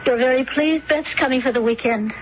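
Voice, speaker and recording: A woman's voice: high voice, a little fast, close to an everyday voice. An actress playing a worried stepmother talking earnestly with her husband in a radio drama. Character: anxious stepmother, middle-aged wife. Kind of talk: 1970s radio drama acting. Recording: dull old radio broadcast, noisy.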